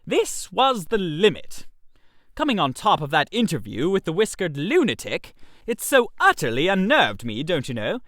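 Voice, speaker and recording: A storyteller giving an expressive, wry, theatrical narration of a comic tale. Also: mid-range voice, no room sound, clean studio sound.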